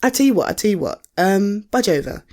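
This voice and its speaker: A British woman playing a caring, supportive partner in an intimate conversational audio roleplay, speaking softly with a gentle, hesitant, coaxing manner. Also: medium pitch, animated, a little fast, lightly acted. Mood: relaxed, agreeable.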